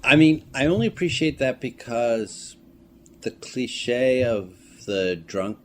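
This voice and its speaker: A man's voice: mid-range voice, lively intonation, slow pace. A man being interviewed about his film work, talking casually and thoughtfully, often qualifying and questioning what he says. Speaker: filmmaker and actor being interviewed. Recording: clearly noisy room ambience.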